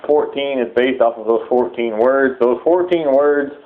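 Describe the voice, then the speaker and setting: A man delivering a sermon-like public address in halting, deliberate phrases, repeating words for emphasis. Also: medium pitch. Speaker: preacher giving a public address. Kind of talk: sermon-like extremist political address. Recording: muffled, thin phone-like audio.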